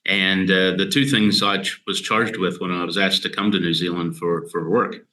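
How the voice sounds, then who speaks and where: Man, American accent, fifties, interview guest, measured explainer, crisp forward tone. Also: low-pitched. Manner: steady, measured, explains in detail with frequent "uh" pauses. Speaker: guest dairy scientist. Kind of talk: casual explanatory interview talk.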